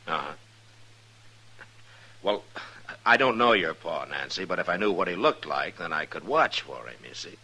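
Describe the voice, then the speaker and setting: Deep husky male voice, calm American western marshal, forceful tone, patient, old radio drama. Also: moderate pace, long pauses, exaggerated voice, rough recording. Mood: patient, probing. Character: calm, steady adult male US marshal in frontier west. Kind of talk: 1950s radio western acting.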